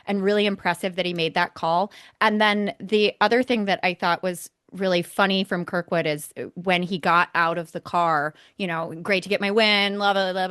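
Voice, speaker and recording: A woman's voice: lowish voice, fairly bright tone, clean tone, no echo, clean studio sound. A woman co-hosting a casual motorsport recap show, talking in an emphatic, opinionated way. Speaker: podcast co-host.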